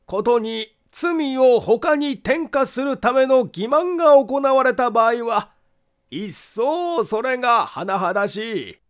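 Man putting on a very high-pitched, meticulous detective. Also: animated, clean tone, exaggerated voice. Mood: methodical, confident. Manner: deliberate, formal, methodical explaining. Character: meticulous amateur male detective explaining his deductions. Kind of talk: acted audiobook monologue.

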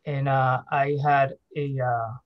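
A man's voice: medium pitch, flat delivery, slow, no room sound. A man presenting over a video call, friendly and hesitant, with many fillers. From Venezuela. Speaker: dentist and professor. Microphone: video call webcam. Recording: dry, dull lo-fi call audio.

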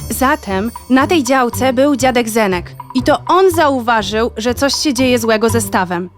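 Kobieta jako wysokie, przemądrzałe autko-zabawka. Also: female voice, on the high side, expressive, measured pace, faint music behind. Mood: explaining. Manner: eager, explaining, punctuated with beeps. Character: knowing, boastful female toy car that beeps. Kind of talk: children's audio drama acting.

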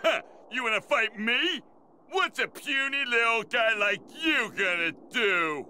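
A man's voice: very high voice, animated, pushed and bright, slight background noise, heavily acted. An actor playing a huge, cocky, bullying alien fighter in an anime game, taunting and showboating as he talks. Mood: mocking excitement. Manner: taunting, showboating, drawn-out delivery.

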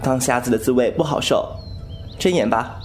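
A man's voice: mid-range voice, normal speed, close to an everyday voice. A man playing a young wuxia wanderer in an audio drama, teasing and sardonic, given to sighs. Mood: wry, curious. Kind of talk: Mandarin audio drama acting. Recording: background music under voice.

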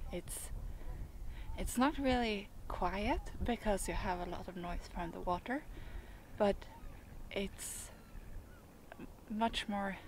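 A young woman chats casually to a camera out on a boat at anchor. She speaks slowly and hesitantly, feeling for her words, in a mid-pitched voice. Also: a little hiss or hum, low-quality recording. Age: young adult. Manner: halting, hesitant phrasing. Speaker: sailing vlogger. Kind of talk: casual vlog talk to camera. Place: outdoors on a sailboat at anchor.

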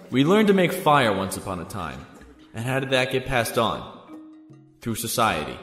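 Actor as articulate young male student, earnest and reasoned, mid-pitched, radio-play classroom with hall reverb. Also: fairly bright tone, lightly acted. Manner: articulate, explanatory, debating. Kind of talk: audio drama acting. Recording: echoey hall reverb with music.

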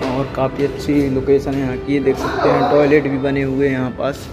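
A young man giving a casual walking tour of a mall at night, filmed on a handheld phone, with loud music playing behind him. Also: mid-range voice, brisk. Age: young adult. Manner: repetitive, even-toned tour commentary. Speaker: vlogger. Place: large indoor mall and parking at night. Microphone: handheld phone camera.

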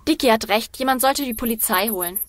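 A woman's voice: a little high, fast, in a large hall, natural voice. A woman playing a sensible, matter-of-fact child detective in a children's radio play. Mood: concerned.

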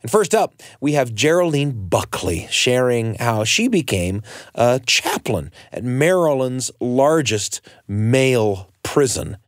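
A man's voice: medium pitch, very animated, slow pace, no echo, clean studio sound. An American man hosting a radio storytelling show in a conversational, emphatic way, often turning to the listener with questions.

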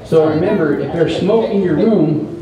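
A man's voice: on the high side, normal speed, close to an everyday voice. A man voicing an eager, clownish male puppet in a children's fire safety show, with a casual, exaggerated manner. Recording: echoey mall, distant mic, noisy crowd.

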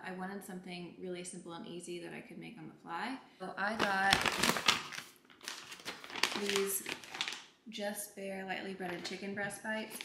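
A woman's voice: mid-range voice, roomy sound, heavy background noise. A young woman in her twenties vlogging casually, chatting upbeat about products and meal prep in her car and kitchen. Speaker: lifestyle vlogger. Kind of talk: casual vlog chat to camera. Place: parked car, then home kitchen.

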